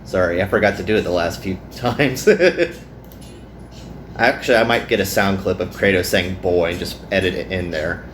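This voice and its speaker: A man's voice: sits low, steady tone, moderate pace, fairly bright tone. Guy doing a casual let's play, jokey and self-deprecating, echoey room, bit of noise behind. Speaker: let's player. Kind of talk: casual visual novel playthrough, reading aloud with commentary. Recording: roomy echo, some background noise.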